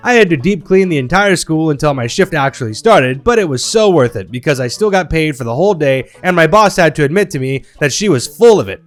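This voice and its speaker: A man's voice: a little high, expressive, brisk, few pauses. A man reading online stories aloud in a playful, wry storytelling manner. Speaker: narrator reading Reddit stories.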